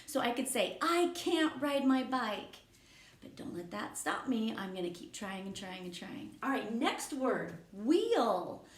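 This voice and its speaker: A woman with a slightly high voice teaches young children, explaining each step slowly and patiently. Her tone stays encouraging, and the recording carries a roomy echo. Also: big pitch swings. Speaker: elementary school teacher. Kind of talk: remote classroom lesson for young children. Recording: echoey room.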